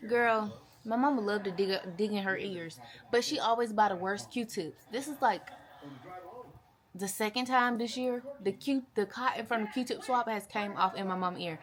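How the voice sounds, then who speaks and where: A woman in her twenties chatting casually to camera as a vlogger, with a playful, animated and slangy way of talking. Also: mid-range voice, normal speed. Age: 20s. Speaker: vlogger, mother.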